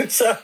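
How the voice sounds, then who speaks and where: A man hosting an interview podcast, hesitant with lots of fillers, jokey. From United States. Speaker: podcast host.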